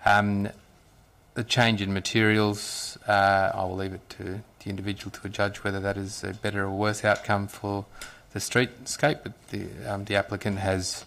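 Halting male councillor at a desk mic. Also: fairly low pitch, level tone, fairly bright tone. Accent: Australian. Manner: halting, many fillers and restarts. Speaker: city councillor. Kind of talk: council debate speech. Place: large council chamber. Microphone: desk microphone.

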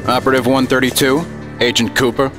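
An actor playing a smarmy, evasive FBI assistant director in a 1950s-style radio drama. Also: male voice, medium pitch, level tone, fast, over music, lightly acted.

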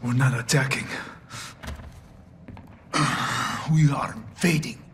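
An actor playing a menacing, fanatical terrorist commander in a military game, speaking in measured threats. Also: male voice, mid-range voice, fairly even intonation, a bit bright, slight background noise. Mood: grim resolve. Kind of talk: cinematic military game villain acting.

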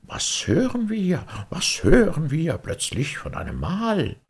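A man puts on a little young oyster from a storybook, naive and anxious. The voice is pushed high and pleads earnestly. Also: wildly swooping pitch, slow, creaky, husky, full character voice. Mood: alarmed.

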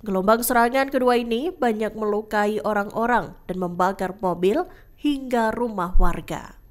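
A woman reads scripted news narration as a presenter, in a formal, measured manner. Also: mid-range voice.

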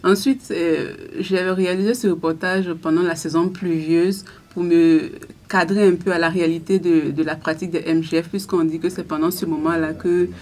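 Soft-voiced young woman journalist. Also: on the low side, fairly even intonation. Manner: thoughtful, with frequent pauses and restarts. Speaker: radio journalist. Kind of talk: interview answers. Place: small radio studio. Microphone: studio microphone on a stand.

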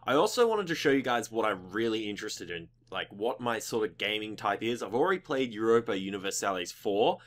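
A young man with a slightly croaky voice giving casual, rambling gaming commentary as a video host, informal and mildly sweary. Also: fairly low pitch, very animated, brisk, hardly any pauses, a bit bright, a little hiss or hum.

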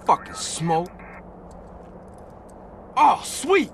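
An actor playing a hot-headed young gangster in a video game, blunt, emotional and slangy. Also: male voice, high-pitched, very animated, very slow, full character voice. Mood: distressed. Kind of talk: video game cutscene acting. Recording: clear street and car noise.

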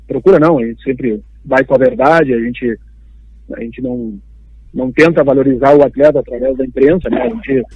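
A man from Uruguay speaks as an interview guest. His delivery is halting, with repeated phrases, in a medium-pitched voice. The audio is dry and somewhat dull, like a remote call. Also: a little mellow, husky, no echo. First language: Spanish. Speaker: football agent, interview guest. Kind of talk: live interview.

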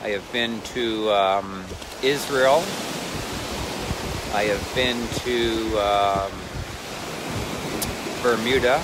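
A man's voice: on the low side, extremely slow, pauses often. A man in his fifties, chatty and wandering, filming a cold outdoor vlog on a phone held at arm's length by a lakeshore. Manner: chatty, wandering, self-mocking. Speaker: vlogger and ministry leader. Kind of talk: casual rambling selfie vlog. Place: outdoors on a windy lakeshore with waves. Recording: wind and surf noise on phone audio. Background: waves and wind.

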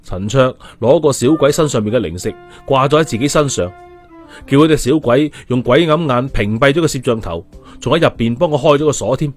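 A man's voice: mid-range voice, expressive, a little fast, faint music behind. A man narrating an audiobook story in a storytelling style.

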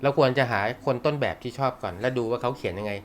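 A man's voice: mid-range voice, rapid-fire, slight background noise. A young man teaching a manga class in a casual, joking way, laughing often and using slang. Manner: chatty, laughs often, uses slang and swears. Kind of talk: casual live class talk.

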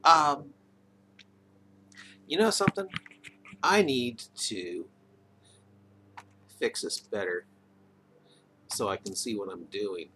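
A man's voice: fairly low pitch, big pitch swings, takes it slowly, pauses often, bright, forceful tone. A middle-aged man talking casually into a webcam microphone at home, hesitant and often trailing off. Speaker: video maker. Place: indoors, wood-panelled room at home. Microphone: computer or webcam microphone, near arm's length. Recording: noisy webcam microphone with room ambience.

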